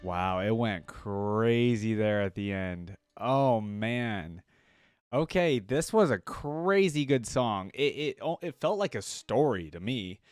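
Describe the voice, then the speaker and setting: A man's voice: fairly low pitch, lively intonation, slow pace, clean tone, no echo. A man giving casual, enthusiastic reaction commentary as a video creator, in an informal, exclamatory way. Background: orchestral music from the track being reviewed.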